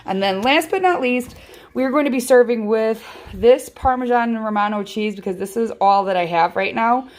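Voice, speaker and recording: A woman's voice: on the high side, normal speed. A woman hosting a casual home cooking tutorial from her kitchen, rambling and chatty with lots of ums, filmed on a handheld phone. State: feels her voice sounds off. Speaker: home cooking channel host.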